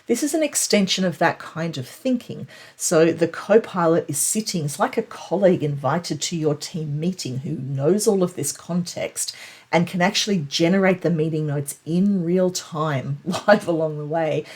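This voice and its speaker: A woman's voice: sits low, normal speed, darkish tone, husky. A woman presenting a conversational, explanatory recap of tech news, leaning on key points for emphasis.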